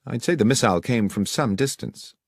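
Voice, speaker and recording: A man voicing a brilliant Victorian detective in an audiobook, with crisp, patient, authoritative speech. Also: medium pitch, a little fast, no echo, studio quality, voice pushed up. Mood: thoughtful. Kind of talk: audiobook character reading.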